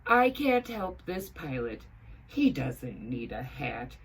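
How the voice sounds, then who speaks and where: A woman voicing an eager, indignant male talking hat in a children's story, exclaiming in slow, emphatic words. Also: extremely low pitch, very animated, slightly rough, full character voice. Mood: dismayed.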